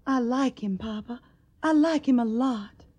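An actress playing an earnest, devoted young Tennessee daughter in a radio drama, with a soft, breathy, tender way of pleading. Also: female voice, a little high, measured pace. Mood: defensive.

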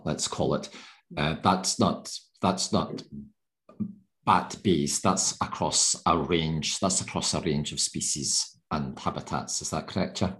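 A man hosting a casual interview podcast, chatty and teasing, with rambling questions. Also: rumbling low. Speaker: podcast host.

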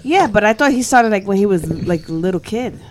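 A woman's voice: medium pitch, expressive, fairly quick, background noise. A woman co-hosting a talk show with casual, playful banter. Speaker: co-host. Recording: music playing behind the voice. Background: music.

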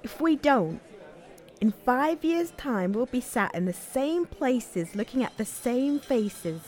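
A woman's voice: fairly high pitch, animated, takes it slowly, a little mellow, some chatter behind. An actress playing a hopeful, blunt, determined young homeless woman with a British accent in an audio play. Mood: firm, frustrated. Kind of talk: audio play dialogue and narration.

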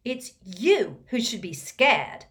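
A woman voicing a tense, defiant teenage boy wizard who speaks forcefully and vehemently. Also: fairly high pitch, wildly swooping pitch, unhurried, voice pushed up, exaggerated voice.

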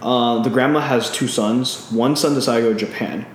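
A man's voice: mid-range voice, steady tone, brisk. Man chatting in a very echoey room. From California. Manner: rambling retelling, lots of "um" and restarts. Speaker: podcast co-host. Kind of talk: casual chat. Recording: very echoey room with faint noise.

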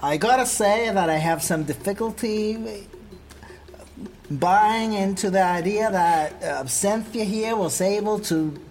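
A player voicing a male commander with a Swiss accent, speaking English as a second language, probing with halting questions. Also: mid-range voice, darkish tone, soft background music. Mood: questioning. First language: not English. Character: male military commander overseeing ninja trainees. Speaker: commander character. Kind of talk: tabletop roleplay in character.

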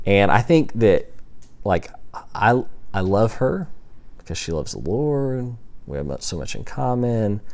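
A man's voice: lowish voice, a little mellow. A young man teaching a class, talking casually, thinking aloud with restarts and questions to the students. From Texas. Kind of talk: classroom lecture.

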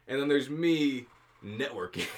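A man co-hosting a casual podcast, making joking deadpan asides. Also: medium pitch. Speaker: podcast co-host. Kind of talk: casual chat.

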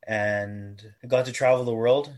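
A man in his twenties from Canada, earnestly and thoughtfully telling his own story in an interview, at times pausing to correct himself. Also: medium pitch, fairly even intonation, a little mellow. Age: 20s. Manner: earnest, measured, self-correcting. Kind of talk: reflective personal testimony.

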